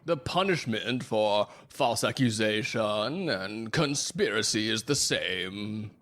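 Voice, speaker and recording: Actor playing a pompous, menacing, slow-talking first mate. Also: mid-range voice, lively intonation, fairly bright tone. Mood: threatening. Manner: pompous, antiquated, intimidating speech. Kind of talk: theatrical audio drama acting.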